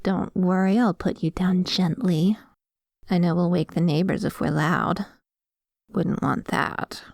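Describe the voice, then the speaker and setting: A woman playing a dominant, possessive female vampire in an ASMR roleplay, talking in a coy, deliberate and quietly menacing way. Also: lowish voice, gravelly, no echo, clean studio sound. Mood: smug, teasing.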